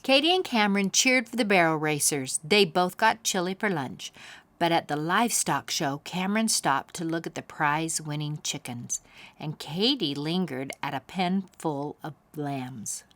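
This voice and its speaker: A woman hosting a children's story time, reading aloud in an animated storybook style. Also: low voice, moderate pace, no echo.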